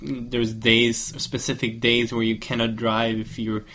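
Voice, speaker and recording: Man, flat intonation, medium pitch, hesitant phrasing, conversational discussion. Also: measured pace, slight background noise. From Norway. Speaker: discussion participant. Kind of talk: informal discussion in a second language.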